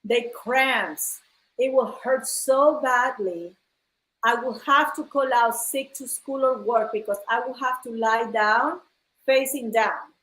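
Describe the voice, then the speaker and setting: A woman's voice: a little high, takes it slowly, fairly bright tone, echoey room, rough recording. A woman from Ecuador telling her own story to viewers in a casual live-stream chat, talking earnestly and calling her audience "guys". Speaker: host. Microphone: phone live stream.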